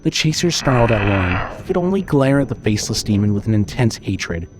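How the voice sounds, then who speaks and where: A man's voice: on the low side, big pitch swings, brisk, music in the background. A man reading scripted horror fiction as the narrator of an audio drama.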